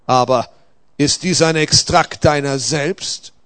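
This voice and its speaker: A man voicing a stern but gentle angel who admonishes solemnly in a dramatic story reading. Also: on the high side, slow, clear, resonant tone, no echo. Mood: gently firm.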